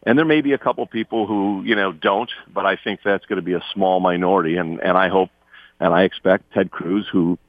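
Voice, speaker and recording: Low-voiced guy calling into a radio interview, phone sound, echoey, conversational political talk. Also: fairly even intonation, brisk. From from Lithuania, immigrant. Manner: conversational, hedging with "you know". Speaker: political party figure, guest. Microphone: phone call to radio studio. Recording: tinny phone line, roomy echo.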